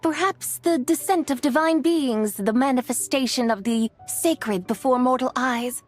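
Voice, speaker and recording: A lofty immortal lady in a fantasy game. Her voice is fairly high and breathy, with stately, old-fashioned phrasing and curious poise, over faint music. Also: a little slow, a little mellow. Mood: wearily aloof. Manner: formal, archaic phrasing, stately. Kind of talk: video game voice acting.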